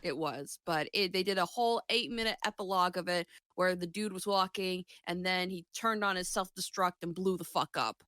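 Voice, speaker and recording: A woman with a bright, forward voice co-hosts a casual podcast. She is animated and playful, bantering and swearing freely. The sound is close and dry. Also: lowish voice, moderate pace, few pauses, no room sound. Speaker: podcast co-host.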